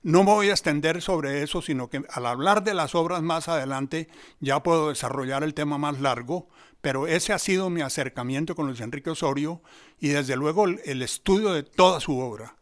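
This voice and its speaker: A man's voice: fairly high pitch, normal speed. An elderly Colombian man, a theatre scholar, talking in a discursive, anecdotal academic way. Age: 70s or older. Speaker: theatre researcher and teacher, guest panelist.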